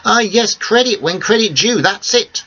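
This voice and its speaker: A man voicing an old cafe owner, friendly and excitable, speaking broken English with lots of "ah" and "eh". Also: high-pitched, normal speed, voice pushed up, exaggerated voice. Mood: eager. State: a bit out of breath. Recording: dull lo-fi recording.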